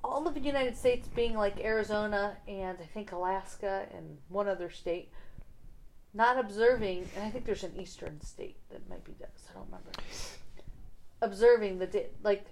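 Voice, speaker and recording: A middle-aged woman with a loud, animated voice chats casually on a podcast. She laughs easily and talks with lively emphasis. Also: mid-range voice, normal speed, slight background noise, rough recording. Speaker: podcast co-host. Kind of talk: casual chat.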